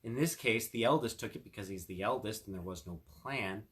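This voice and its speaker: A man's voice: on the low side, a little fast. A man reading a fairy tale and explaining its lesson in an informal, explanatory way. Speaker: attorney reading a fairy tale and giving estate tips. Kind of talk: storytelling and informal explanatory talk.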